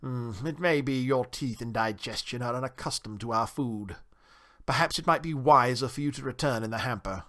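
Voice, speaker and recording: A man's voice: mid-range voice, moderate pace, sharp tone, natural voice. A man voicing a genteel, well-mannered storybook town mouse who speaks politely and formally, a little pompous and easily miffed. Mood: politely condescending. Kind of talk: storybook character reading.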